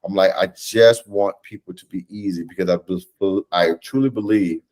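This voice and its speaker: A man in his thirties talking earnestly and gently on a video call, reflecting as a director in an interview. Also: sits low, fairly even intonation, moderate pace. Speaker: stage play director. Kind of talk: reflective panel interview talk.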